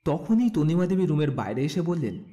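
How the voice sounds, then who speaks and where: A man's voice: a little high, sing-song, moderate pace, mellow. A man narrating a scripted romantic audio story.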